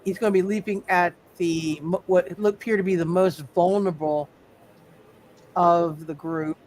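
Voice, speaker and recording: High-pitched man running a tabletop game. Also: fairly even intonation, normal speed, darkish tone, a little hiss or hum. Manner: repeats words and phrases while thinking. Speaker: game master. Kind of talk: improvised narration of a live tabletop roleplaying game.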